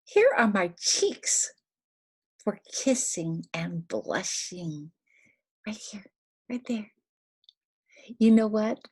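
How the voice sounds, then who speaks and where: An older woman reads a picture book aloud to toddlers, speaking simply with questions and little instructions, in a breathy, husky voice. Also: medium pitch, lively intonation, drawn-out words, long pauses. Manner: simple, instructive, asking the child questions and giving prompts. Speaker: storyteller for young children.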